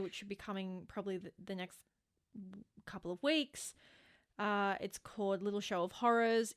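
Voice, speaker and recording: A woman's voice: medium pitch, clean tone. A woman with an Australian accent chats casually and informally as a podcast co-host.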